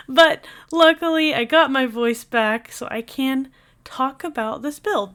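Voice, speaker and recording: Chatty young woman, slightly high voice. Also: fairly bright tone. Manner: chatty, rambling, lots of filler words. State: voice recovering after losing it. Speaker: video game builder and streamer. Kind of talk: casual voiceover over a speed build.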